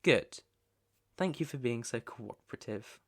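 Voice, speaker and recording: A British man playing a controlling, possessive young mafia heir in an ASMR roleplay, deliberate and commanding, with menace under the coaxing. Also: mid-range voice, animated, husky. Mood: curt, commanding. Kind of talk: ASMR roleplay, second-person dramatic acting.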